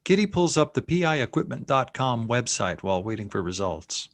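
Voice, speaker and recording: A man's voice: medium pitch, sing-song, brisk, no echo. A man reading scene headings and action lines as narrator of a screenplay table read. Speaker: narrator reading stage directions.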